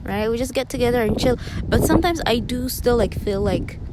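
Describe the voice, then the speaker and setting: Rambling woman over loud wind noise. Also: fairly high pitch, lively intonation, a little fast. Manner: rambling, full of "like" and "right?", laughing asides. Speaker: monologue performer. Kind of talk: casual rambling rant.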